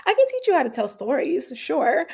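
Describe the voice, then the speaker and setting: A woman's voice: high-pitched, very animated, moderate pace, vocal fry, darkish tone. A woman from the Dominican Republic giving an earnest, candid summit talk, with frequent fillers and restarts. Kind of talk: conversational online presentation.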